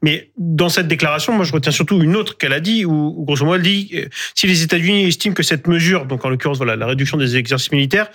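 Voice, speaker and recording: Fast-talking, higher-voiced man. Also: fairly bright tone. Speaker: panel analyst. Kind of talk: analytical live commentary.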